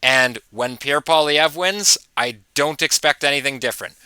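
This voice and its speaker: A man delivers casual opinion commentary to his viewers in a very bright, forceful voice. He is informal and mocking, dropping in amused asides. The sound is dry and close. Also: a little high, moderate pace, smooth, no room sound. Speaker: host of political commentary channel.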